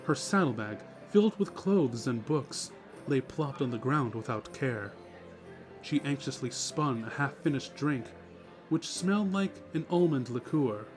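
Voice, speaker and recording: A man's voice: medium pitch, expressive, measured pace. A man reading scripted story narration as the narrator of a dramatic reading. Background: music.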